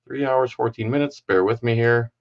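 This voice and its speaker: A man's voice: medium pitch, normal speed, lo-fi sound. A man hosting a podcast, giving rambling, sarcastic political commentary. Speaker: podcast host.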